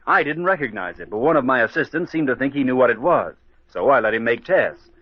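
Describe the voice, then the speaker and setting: A man's voice: mid-range voice, animated, a little fast, no echo, close to an everyday voice. A man playing a businesslike hospital laboratory colleague in an old radio mystery, reporting in a matter-of-fact, explanatory way. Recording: dry, dull old radio recording.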